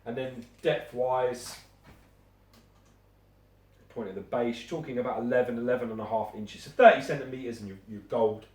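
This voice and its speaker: A man's voice: mid-range voice, fairly even intonation, normal speed, pauses often. A man reviewing collectible statues in casual chat, blunt and swearing casually. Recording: echoey room, mic at a distance.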